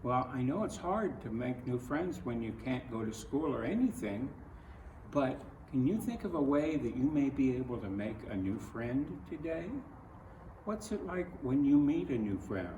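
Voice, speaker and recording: A man reading a picture book aloud to children, speaking in simple, deliberate phrases and putting questions to the listener. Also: mid-range voice, animated, mellow, lo-fi sound. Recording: roomy, distant mic, clearly noisy.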